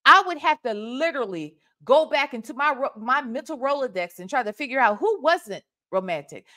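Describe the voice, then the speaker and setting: Sassy Southern-accented woman, slightly high. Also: normal speed. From Ohio, United States. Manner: emphatic, sassy, animated talk. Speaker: reaction video host. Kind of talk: casual commentary on a played call. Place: small room at home, indoors. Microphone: webcam with desk microphone.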